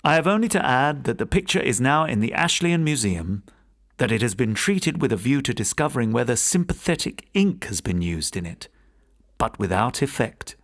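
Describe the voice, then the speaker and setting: A man giving scripted narration of gothic ghost stories as a host, in a theatrical, expressive storytelling manner. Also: medium pitch, moderate pace. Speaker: podcast host and audiobook narrator.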